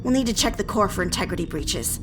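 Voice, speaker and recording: An actress playing a capable, plainspoken engineering deputy in a sci-fi audio drama, delivering technical lines matter-of-factly. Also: female voice, mid-range voice, expressive, fast, music in the background, lightly acted. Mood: sober.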